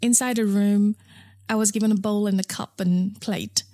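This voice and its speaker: A woman's voice: medium pitch, steady tone, normal speed, dark tone, no room sound. Woman, frank and chatty. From Nepal. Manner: frank and chatty, with frequent fillers and restarts. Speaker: interview guest, founder of a period underwear brand. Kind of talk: casual interview conversation.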